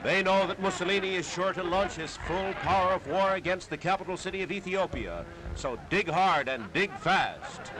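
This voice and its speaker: American male newsreel announcer, 1930s style, animated and declamatory, noisy archival sound. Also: high-pitched, moderate pace, few pauses. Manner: dramatic, declamatory delivery. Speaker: newsreel narrator.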